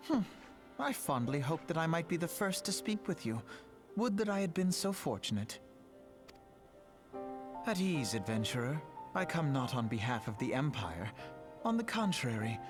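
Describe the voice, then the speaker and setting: An actor playing an earnest, self-assured young nobleman in a fantasy game, speaking in formal, archaic phrases. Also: fairly high pitch. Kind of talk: theatrical fantasy game voice acting. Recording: music behind the voice.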